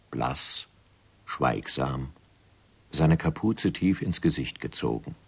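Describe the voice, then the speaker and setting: A grave storyteller in a radio drama. His voice is extremely deep and somewhat rough, and he tells the tale in measured, ominous phrases. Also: exaggerated voice. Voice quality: deep, husky. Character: grave male narrator of a mysterious village tale. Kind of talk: scripted radio drama narration.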